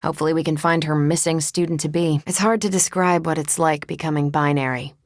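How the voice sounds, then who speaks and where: Confident, wry superhero, close and dry. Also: female voice, deep voice, fairly even intonation, brisk, dry recording. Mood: earnest. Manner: wry, casual, self-assured. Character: confident, wry, battle-hardened female superhero. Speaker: video game voice actor. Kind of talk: video game character dialogue.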